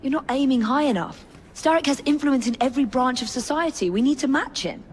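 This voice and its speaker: A woman's voice: high voice, brisk, a little hiss or hum, natural voice. A woman playing a determined, composed young English crusader in a video game, firm and no-nonsense. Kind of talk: video game cutscene acting.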